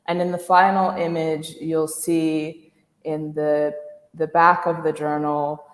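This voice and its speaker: An echoey, reverberant recording of a low-pitched woman giving an informal artist's talk. She speaks slowly and thoughtfully, halting often, with little melodic movement. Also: little pitch movement. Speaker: visual artist, guest speaker.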